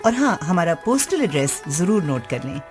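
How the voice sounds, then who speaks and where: A woman's voice: lowish voice, big pitch swings, normal speed. A woman co-hosting a radio show, reading scripted announcements to the listeners. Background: music.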